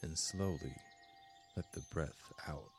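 A man with an extremely low voice leads a guided meditation. He speaks very slowly and calmly, leaving long pauses between measured, deliberate phrases. Soft music with chimes plays gently behind him. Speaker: guided meditation host and instructor. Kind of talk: slow guided meditation instruction.

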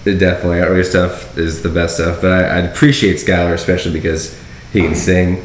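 A man with a deep, croony register talks casually as a musician guest. The room rings with echo and there is a little background noise. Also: fairly even intonation, quick pace. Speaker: band singer and guitarist, podcast guest. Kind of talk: casual chat. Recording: echoey room, faint noise.

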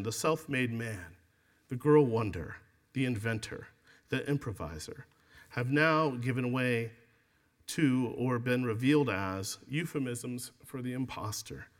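Author at a reading event, male, mid-pitched, measured and deliberate delivery. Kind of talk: reading from his book, then conversation. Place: event space with an audience.

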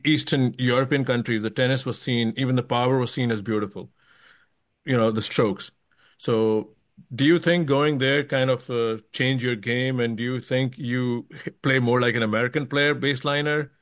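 A man's voice: medium pitch, no echo, clean studio sound. A man hosting a casual podcast interview, digressing and qualifying himself as he works toward his questions. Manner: rambling, digressive, self-qualifying.

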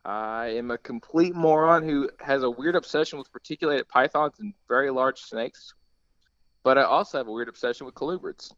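A man's voice: medium pitch, a little fast, fairly bright tone, no echo, lo-fi sound. Joking young man from Kentucky, dry sound. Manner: self-deprecating, joking. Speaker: guest. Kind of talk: casual podcast chat.

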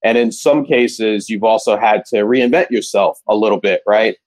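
Low-voiced male panel moderator. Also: moderate pace, a little mellow, dry recording. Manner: conversational, often adds "right?". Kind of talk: moderating a video call panel.

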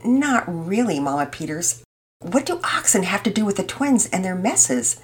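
A woman voicing a distraught young girl who talks high and fast, pleading and whiny. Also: sing-song, close to an everyday voice. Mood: subdued. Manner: high-pitched, rushed, pleading.

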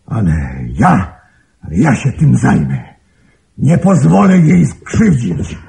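A man's voice: mid-range voice, wildly swooping pitch, slow pace, gravelly, mellow, full character voice. An actor playing a crippled, vengeful man in a radio play, muttering and repeating himself in agitation. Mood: agitated, scheming. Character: crippled, vengeful older man obsessed with his former fiancée.

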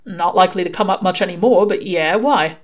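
A woman's voice: fairly low pitch, fairly quick, natural voice. A woman voicing a sardonic, brusque male demon in an audiobook, with offhand, slangy, muttering delivery. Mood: dismissive, reassuring. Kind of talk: audiobook character dialogue.

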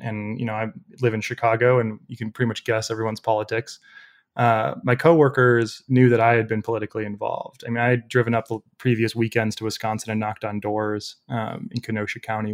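A young man with a loud voice, a podcast guest telling stories casually with many fillers. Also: lowish voice, flat delivery, fairly quick. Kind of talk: casual storytelling interview.

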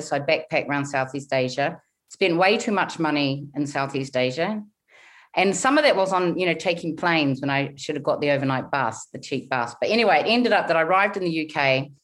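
A woman in her forties from New Zealand with a softened Kiwi accent, telling her story candidly in a podcast interview. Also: sits low. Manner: candid storytelling, frequent fillers and restarts. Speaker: guest, money coach.

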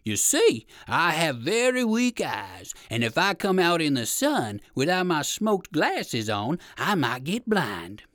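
Woman voicing a slow, sly storybook bear. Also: low voice, sing-song, a bit bright, no echo, clean studio sound, heavily acted. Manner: slow, coaxing, menacing salesman.

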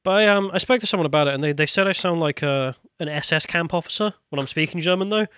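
Podcast guy, brightish higher voice, chatty and laughy, dry close mic. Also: fast, smooth, dry recording. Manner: chatty, laughs easily, reads viewer comments aloud. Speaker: podcast co-host. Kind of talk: casual podcast chat.

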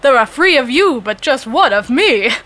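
A woman playing a pompous, jolly, oblivious older British gentleman, a former sportsmaster, with theatrical old-fashioned bluster in a comedy sketch. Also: big pitch swings, moderate pace. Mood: earnest, excited. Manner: booming bluster, old-fashioned posh exclamations.

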